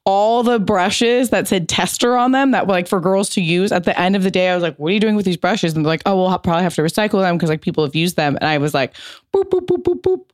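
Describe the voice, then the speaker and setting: A woman hosting a casual interview, relaxed, laughing easily and telling animated anecdotes. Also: mid-range voice, fast talker, no room sound, studio quality.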